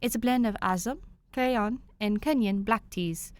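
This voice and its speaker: A woman plays an elderly English butler from a storybook, refined and gently proud. The delivery is formal, courteous and measured, recorded close and dry. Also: a little high, no room sound, natural voice. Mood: courteous, instructive.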